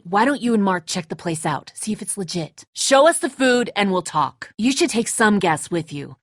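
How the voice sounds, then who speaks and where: A woman's voice: mid-range voice, a bit bright, no echo, studio quality, lightly acted. An actress playing a tense, defensive woman who leads a group of survivors in a dramatic video game, blunt, confrontational and exasperated. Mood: firm, testy.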